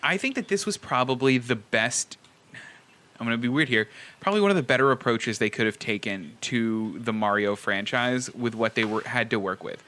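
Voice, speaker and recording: Male co-host, bright mid-pitched voice, animated and wry, casual film chat. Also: moderate pace. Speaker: podcast co-host.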